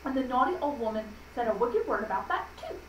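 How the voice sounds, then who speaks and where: A woman reading a children's story aloud for a library audience, deliberately and with small stumbles. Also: fairly high pitch, very animated. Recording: echoey room, distant mic, faint noise.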